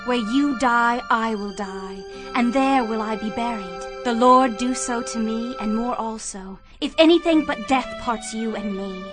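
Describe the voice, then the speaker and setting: An actress playing a humble young widow from a foreign land, earnest and heartfelt, in a dramatized audio Bible. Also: female voice, on the high side, a little slow, few pauses, lightly acted. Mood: devoted pleading. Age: young adult. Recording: dramatic music bed under the voice.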